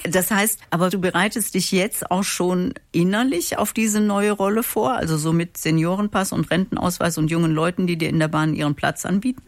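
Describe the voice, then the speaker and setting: A woman hosting an interview, speaking in prepared, clearly structured narration and questions. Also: lowish voice, a little fast, few pauses, no room sound. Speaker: interview host. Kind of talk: scripted introduction and interview questions.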